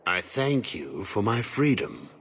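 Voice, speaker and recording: An actor playing a weary old male warden in a fantasy game, with a raspy voice and a measured, formal manner. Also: lowish voice, big pitch swings, fairly bright tone, slight background noise, full character voice. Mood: solemn, grateful. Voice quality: very husky, raspy. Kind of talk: fantasy game cutscene acting.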